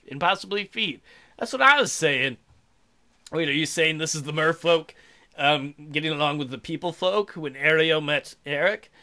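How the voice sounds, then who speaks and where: A man's voice: a little high, pushed and bright. A man hosting a let's play, reading a visual novel aloud and breaking in with irreverent, playful, mocking asides.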